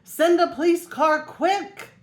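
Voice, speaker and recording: Woman acting a loud, excitable policeman, high-pitched. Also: slow pace. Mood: yelling, excited. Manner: loud, exclaiming. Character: urgent, excitable male policeman yelling down the phone.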